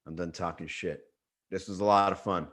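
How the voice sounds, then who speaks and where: A man's voice: deep voice, steady tone, normal speed, a bit bright. Slangy Miami man riffing on a livestream. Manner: loose, slangy, joking with chat. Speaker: comedy livestream host. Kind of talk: casual livestream stand-up riff.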